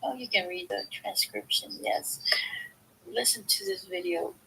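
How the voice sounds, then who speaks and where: A woman reads a book aloud in an informal, halting way, going back over words she trips on. Her voice sits at a middling pitch with a noticeable creak. There is faint noise and a low rumble in the recording. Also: very animated, sharp tone, rough recording. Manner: halting, stumbles over words and corrects herself. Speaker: reader of a book aloud, video host. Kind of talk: informal read-aloud of a self-help philosophy book.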